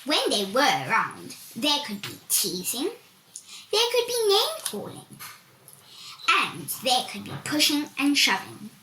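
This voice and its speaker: A woman reading a children's storybook aloud in a deliberate, expressive storytelling manner, with emphatic swoops. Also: high voice. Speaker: volunteer story reader. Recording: echoey room with background hiss.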